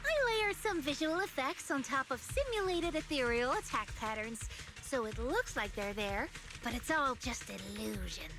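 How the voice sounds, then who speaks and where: A woman voicing an earnest young illusionist in an anime-style video game, speaking in a gentle, thoughtful, explanatory manner. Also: high-pitched, lively intonation, normal speed. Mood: sheepish, earnest. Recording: music behind the voice. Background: background music.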